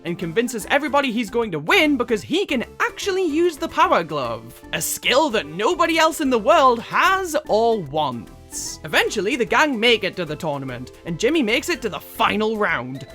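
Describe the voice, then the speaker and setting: Sarcastic woman narrating, lively pitch. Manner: sarcastic, swears freely. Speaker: video essay host. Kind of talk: scripted comedic review narration.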